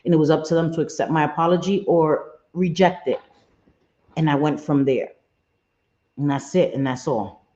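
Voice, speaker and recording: A woman with a husky smoker's voice hosting a live stream, talking bluntly and earnestly, often repeating herself, with some salty language. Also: low voice, moderate pace, long pauses, dark tone. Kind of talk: candid livestream chat, reading chat comments. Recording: muffled roomy home stream audio.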